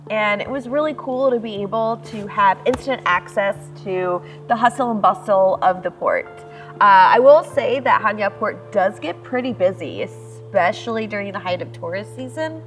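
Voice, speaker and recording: A woman from the United States chatting enthusiastically in a travel vlog, laughing easily, recorded outdoors at a harbor. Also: mid-range voice. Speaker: vlogger. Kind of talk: casual travel vlog talk.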